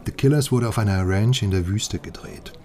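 A man's voice: fairly low pitch, normal speed, warm tone, close to an everyday voice. An actor playing a chatty, eager sidekick in a radio crime drama, colloquial and proud of what he has found out. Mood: eager, gossipy.

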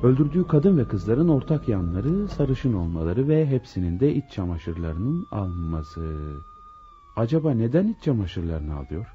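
An actor playing a dutiful, commanding police inspector in a radio drama, speaking deliberately. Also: male voice, mid-range voice, sing-song, natural voice. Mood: grim, pondering. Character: dutiful male police inspector leading a murder case. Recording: dull, noisy old radio broadcast.

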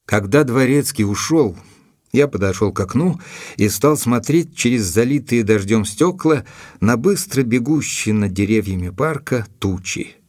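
An actor playing an earnest gentleman doctor in an audio drama, narrating and questioning in a measured, serious, deliberate way. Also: mid-range voice, lively intonation. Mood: brooding, reflective.

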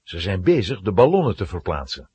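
A man's voice: low voice, sing-song, a little fast, dark tone, slightly rough. A man narrating a children's adventure story as a dramatic, scripted storyteller.

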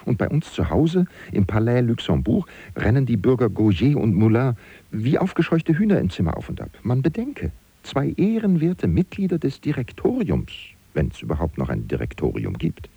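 An actor playing an anxious, self-preserving political hanger-on in a German radio play, musing theatrically to himself. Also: male voice, medium pitch, huge pitch swings, normal speed, creaky, warm tone, breathy edge, no room sound, full character voice. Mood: worried musing. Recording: dry, dull lo-fi recording.

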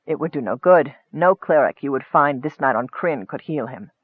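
A man's voice: medium pitch, lively intonation, moderate pace, slightly rough, no room sound. A man voicing a cold, scheming male wizard who talks in a commanding, impatient way. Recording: tinny phone-like dry sound.

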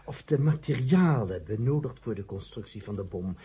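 A man's voice: medium pitch, lively intonation, normal speed, mellow, husky. An actor playing a worried, hesitant male committee member who stammers and repeats himself in a radio drama. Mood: doubtful, hesitant. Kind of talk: 1970 Dutch radio drama acting. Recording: muffled old 1970 radio recording.

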